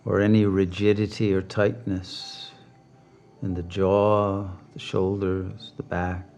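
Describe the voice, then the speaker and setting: Slow, low-voiced man guiding meditation. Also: little pitch movement, a little mellow. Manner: slow, deliberate, with long pauses. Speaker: meditation teacher. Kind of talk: guided meditation.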